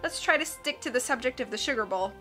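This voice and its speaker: A woman's voice: high voice, lively intonation, quick pace, bright, forceful tone, faint music behind. A woman reading aloud and voicing a sensible teenage girl who speaks in a level, practical way. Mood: calm.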